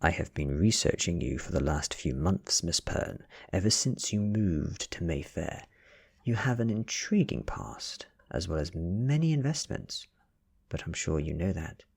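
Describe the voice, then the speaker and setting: British man reading as a tired, husky private detective. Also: low voice, animated, unhurried, full character voice. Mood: pressing. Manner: businesslike, questioning. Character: tired, nervous, probing male private detective.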